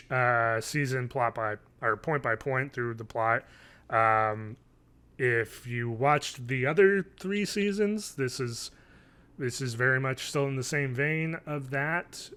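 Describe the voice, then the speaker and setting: A man's voice with a bright edge and a middle pitch. He reviews things casually as a podcast co-host, meandering and hesitant, often doubling back to rephrase. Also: clear, resonant tone. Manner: hesitant, meandering, self-correcting. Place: living room at home, indoors. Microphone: video call, wearing headphones.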